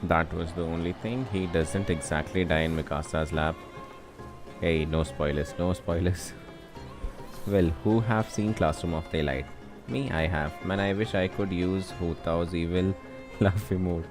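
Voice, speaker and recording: A young man with an Indian accent and a very low voice. He chats casually as a game streamer, commenting on play, with faint music underneath. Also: steady tone, unhurried.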